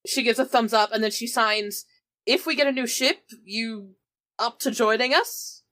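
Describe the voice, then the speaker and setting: A woman with a fairly high, bright and crisp-edged voice plays a tabletop game. She moves casually between describing her character and speaking as her. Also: normal speed. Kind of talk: casual in-character roleplay and narration.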